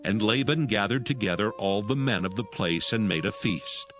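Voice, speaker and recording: A man narrating dramatized scripture as a solemn, measured storyteller. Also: lowish voice, animated, a bit bright. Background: music.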